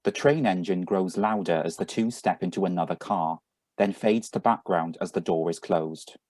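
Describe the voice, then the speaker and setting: A man's voice: sits low, moderate pace, a little mellow. A man reading out scripted sound-effect directions as announcer for a live radio play on a video call. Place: remote, on a Zoom video call.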